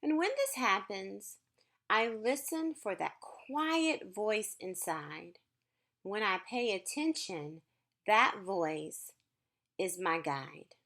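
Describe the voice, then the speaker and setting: A woman speaks to young children as a school counselor doing a read-aloud. Her pace is slow and deliberate, and her mid-pitched voice moves up and down in a very lively way. She sounds reassuring and encouraging, both when reading and when adding her own explanations.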